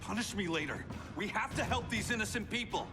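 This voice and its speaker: An actor playing an earnest, remorseful young superhero in a video game, wry and pleading. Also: male voice, high-pitched, expressive, a little fast, music in the background. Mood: urgent, pleading.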